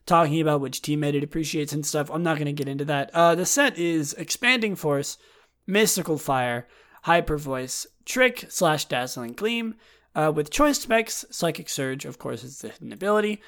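A man hosting his own show, his throat hoarse and raspy from a long stretch of talking. His voice sits a little higher than most men's. He talks loosely, circling back and restarting sentences, with wry jokes at his own expense. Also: expressive, moderate pace, a bit bright. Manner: rambling, with frequent restarts and self-deprecating asides. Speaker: podcast host. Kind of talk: casual solo commentary, partly reading a write-up aloud.

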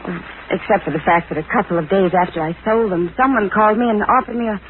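A woman's voice: medium pitch, fast talker, close to an everyday voice. A woman acting in a 1940s radio drama as an anxious, glamorous woman caught up in a mystery, with a dramatic, hesitant delivery. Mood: defensive, uneasy. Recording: tinny, hissy old radio recording.

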